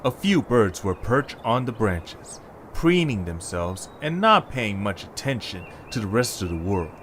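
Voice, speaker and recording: A man's voice: on the low side, big pitch swings, a little slow, a bit bright. A man narrating a scripted story for an audio drama. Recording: background noise, ambience.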